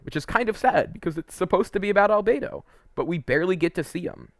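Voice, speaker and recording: A man's voice: sits high, lively intonation, fast, no room sound, clean studio sound. A man giving casual, opinionated video game commentary as a host, conversational and a little hesitant, wandering into asides.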